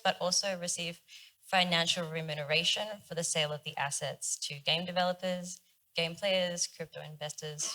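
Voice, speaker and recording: A woman's voice: low voice, pushed and bright, low-quality recording. A woman in her twenties, a young designer on a panel, explaining her project steadily with occasional fillers. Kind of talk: panel discussion talk.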